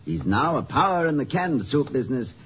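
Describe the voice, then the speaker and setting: An actor playing a mild, urbane, gently witty college president in a 1950s radio comedy, speaking politely and hesitantly with ornate, wry wording. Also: male voice, mid-range voice, very animated, fairly quick. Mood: mild, thoughtful. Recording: muffled, noisy vintage 1950 radio recording.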